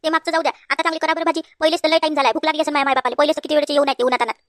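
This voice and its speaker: A woman's voice: high voice, fairly even intonation, rapid-fire, fairly bright tone, no room sound, studio quality. A woman voicing a bossy married daughter in a comedy cartoon, scolding in rural Varhadi Marathi from Vidarbha. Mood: bossy, nagging. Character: bossy married daughter, fussing over her parents, quick to scold.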